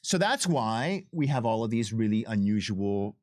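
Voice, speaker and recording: A man's voice: lowish voice, very animated, normal speed, darkish tone, no room sound, studio quality. A man speaking casually and unscripted as a game developer, emphatic and often repeating and restarting his phrases. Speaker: game developer on a commentary stream. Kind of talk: casual unscripted conversation about game design.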